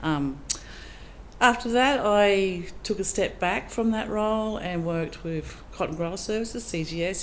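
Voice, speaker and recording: A woman's voice: on the low side, a little hiss or hum. Chatty woman at a public forum. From Australia. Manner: plain, chatty, with frequent ums. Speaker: council candidate. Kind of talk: candidate speech at a public forum.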